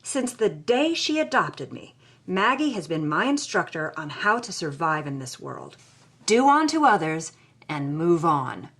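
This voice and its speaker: A woman's voice: fairly low pitch, sing-song, unhurried, a bit bright, full character voice. An actress playing a hard-boiled young woman who recounts her past in a wry, anguished audio drama narration. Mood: wry, resigned.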